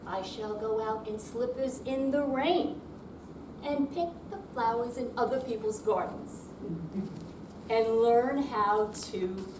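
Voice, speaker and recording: A woman's voice: a little high, takes it slowly. An older woman giving a dramatic amateur reading of humorous verse at a live readers theater performance. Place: indoor senior center room, live performance. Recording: roomy, distant mic, noisy hall.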